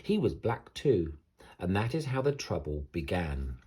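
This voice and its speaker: Deep, husky man reading a bedtime story. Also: big pitch swings, unhurried, dark tone. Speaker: children's storybook reader. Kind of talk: expressive bedtime story narration.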